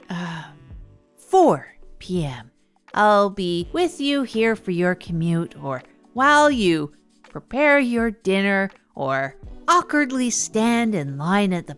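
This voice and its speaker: A woman playing an awkward, earnest small-town podcast host who rambles through comedic stories and digressions. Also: mid-range voice. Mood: chatty welcome. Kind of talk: rambling comedic monologue storytelling.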